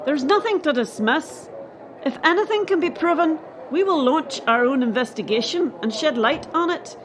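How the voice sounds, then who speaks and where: A woman's voice: high voice, lively intonation, normal speed, background noise. A woman acting in a radio play as a dismissive, hardened gymnastics official defending her sport. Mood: dismissive, cold.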